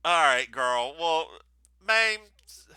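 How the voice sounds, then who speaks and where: Teasing podcast guy, super bright voice, bouncy pitch, dry sound. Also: expressive, takes it slowly, clean tone, no echo. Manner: playful, teasing. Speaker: podcast co-host. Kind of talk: casual chat.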